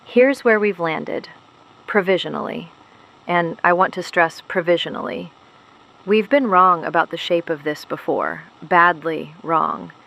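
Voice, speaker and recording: Actress doing underground resistance radio host lady, deep voice, serious n measured, audio drama monologue. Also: gravelly, a bit bright, poor audio. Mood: grave, sombre. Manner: measured, grave, deliberate phrasing. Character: solemn, principled woman hosting a clandestine resistance radio show.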